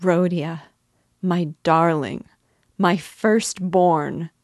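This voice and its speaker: A woman's voice: low voice, fairly even intonation, unhurried, a little mellow, no echo, studio quality. A woman voicing an anxious, tearful middle-aged mother who pleads emotionally with her son. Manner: pleading, emotional, breaking into sobs. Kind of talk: audiobook character reading.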